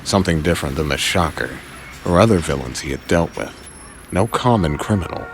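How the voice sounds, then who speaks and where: A man with a low voice narrates a scripted audio drama. His delivery is suspenseful and varied in pitch, broken by dramatic pauses, over faint background noise. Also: a bit bright.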